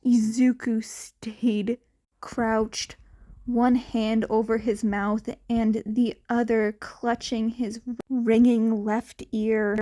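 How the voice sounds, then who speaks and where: A woman narrating fan fiction as an amateur audiobook reader, in an expressive scripted style. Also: a little high, very slow.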